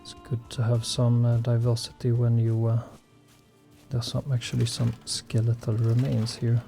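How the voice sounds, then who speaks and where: A man's voice: medium pitch, monotone, creaky, dark tone, faint music behind. A man whose first language is Swedish, commenting on his survival gameplay in a hesitant way full of ums and uhs. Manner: slow, hesitant, many fillers. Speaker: gaming channel host.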